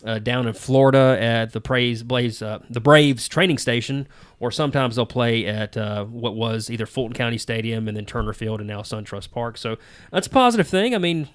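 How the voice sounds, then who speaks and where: A man, hosting a casual podcast chat in a relaxed, easygoing way with plenty of ums and uhs. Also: medium pitch, moderate pace, clear, resonant tone. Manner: relaxed, easygoing, many fillers. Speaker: podcast host.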